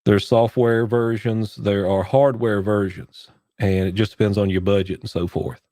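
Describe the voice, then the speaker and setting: A man hosting a casual live church-tech Q&A from his home studio, sick with a cough, rambling as he clicks through his gear on a boom-arm condenser mic. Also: fairly even intonation, moderate pace, dark tone, no echo. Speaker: church tech livestream host. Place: home studio, heater running behind.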